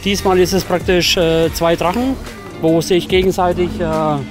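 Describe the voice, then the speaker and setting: A man's voice: sits high, little pitch movement, normal speed, music in the background. A carver explaining his work in an outdoor interview, hesitant with fillers. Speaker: chainsaw carver. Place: outdoors at a woodcarving site.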